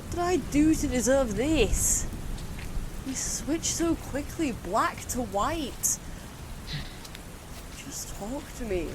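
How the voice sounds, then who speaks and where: An actress playing a feisty, suspicious but loyal young companion in an audio drama, speaking bluntly and colloquially. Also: female voice, high voice, lively intonation, slow, long pauses, noisy background. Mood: angry, exasperated.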